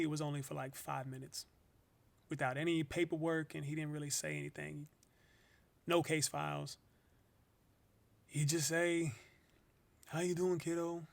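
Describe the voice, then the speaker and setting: Radio drama: earnest young wrongfully jailed man, conversational testimony, noticeably creaky voice, light music underneath. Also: a little high. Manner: halting, conversational, with small repetitions. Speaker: actor in a radio play.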